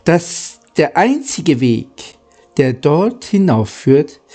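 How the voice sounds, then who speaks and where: A man reading the part of a polite, earnest guide who explains gravely and formally, halting at times, in a storybook. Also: mid-range voice, very animated, warm tone, faint music behind, full character voice. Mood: earnest warning.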